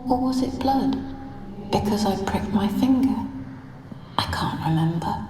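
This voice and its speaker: A melancholy noblewoman played by a woman from a fantasy video game, dreamy and world-weary. She speaks very slowly and formally, in a hushed, wistful way. Her voice echoes amid clear background noise. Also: mid-range voice, lively intonation, lightly acted. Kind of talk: video game dramatic acting. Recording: roomy echo, noisy game ambience.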